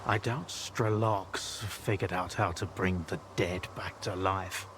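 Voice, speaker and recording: A grave, weary doctor of a game wasteland, low and very slow in speech. He sounds measured and protective. Buzzing outdoor noise runs clearly behind him. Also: fairly even intonation. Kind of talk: video game cutscene acting. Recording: noisy outdoor ambience, insect-like buzzing.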